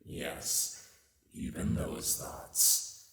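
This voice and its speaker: A man voicing a haughty, scheming demon in a theatrical, condescending ASMR roleplay, with a gravelly voice and sly asides. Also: very deep voice, very animated, extremely slow, a bit bright, echoey room, big-room sound, full character voice. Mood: sly, amused. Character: sardonic, scheming male demon master, haughty soulmate. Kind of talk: ASMR roleplay, dramatic monologue to listener.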